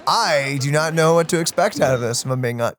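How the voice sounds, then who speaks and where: A man's voice: fairly high pitch, expressive, a little fast, a bit bright, a little hiss or hum. A man hosting a let's play with casual gaming commentary, rambling as he thinks aloud.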